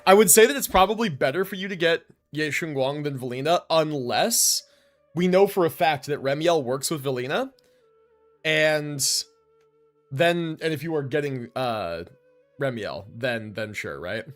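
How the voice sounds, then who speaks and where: A man's voice: a little high, measured pace, faint music behind. A man chatting casually and informally as he livestreams a game, rambling in slangy speech. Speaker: live streamer. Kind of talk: casual chat while livestreaming gameplay.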